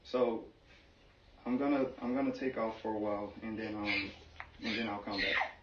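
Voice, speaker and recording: A man with a mid-pitched voice talks earnestly and haltingly, explaining something serious in simple words. He is recorded at a distance in an echoey room, with a slightly muffled sound. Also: fairly even intonation, a bit bright, a little hiss or hum. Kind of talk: serious personal conversation.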